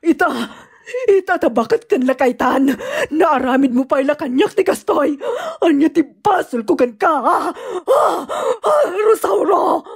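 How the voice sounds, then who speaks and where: A woman's voice: high voice, animated, a little slow. A woman with an Ilocano accent playing an anxious, long-suffering betrayed wife and mother in a radio-style drama. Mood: anguished, furious crying.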